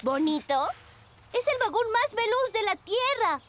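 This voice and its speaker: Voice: very high-pitched, sing-song, unhurried, fairly bright tone, full character voice. An actor voicing a boastful child animal professor in a children's cartoon, pompous and enthusiastic. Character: child cartoon inventor professors, penguin and pink creature, boastful.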